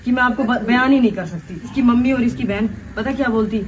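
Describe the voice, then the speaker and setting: A woman's voice: fairly high pitch, fast. A woman talking indignantly and making accusations to the camera.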